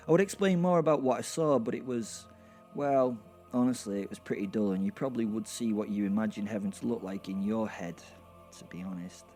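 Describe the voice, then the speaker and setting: A man's voice: fairly low pitch, mellow. A man playing an irreverent, foul-mouthed werewolf who narrates an audio drama with hesitant, rambling, wry asides. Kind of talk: first-person horror comedy audio drama narration.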